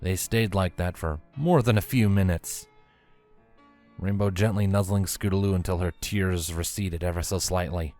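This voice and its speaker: Low-pitched man narrating a story. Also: moderate pace, dark tone. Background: background music.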